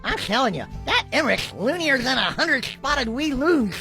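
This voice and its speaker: A grumbling little dragon sidekick in a cartoon. The voice is high and creaky, bouncing around bluntly, with soft music behind. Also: male voice, sing-song, moderate pace, full character voice. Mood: suspicious, worried. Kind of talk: animated cartoon voice acting.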